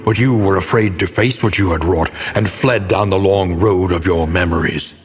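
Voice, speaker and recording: An actor playing a grave, brooding older scholar who narrates a gothic game in a solemn, portentous, theatrical way. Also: male voice, low voice, normal speed, soft background music, natural voice. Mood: grave, accusing. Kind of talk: scripted dramatic game narration. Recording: long cavernous reverb on voice.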